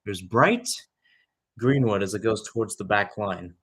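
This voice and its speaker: A man's voice: fairly low pitch, measured pace, fairly bright tone, breathy edge. A young man doing live radio-style soccer play-by-play, with conversational analysis that wanders and corrects itself. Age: young adult.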